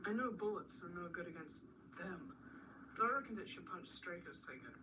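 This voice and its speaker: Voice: mid-range voice, animated, a little fast, music in the background, lightly acted. A performer acting an earnest, matter-of-fact boy vampire hunter in a radio drama, heard in a distant, noisy recording. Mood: earnest, determined.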